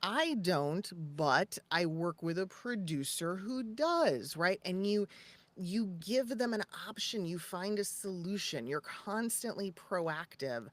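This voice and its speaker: A woman's voice: on the low side, expressive, slow pace. A woman co-hosting a casual talk as a voice-over coach, making points emphatically and with rhetorical questions. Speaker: podcast co-host and voice-over coach. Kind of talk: casual conversational advice.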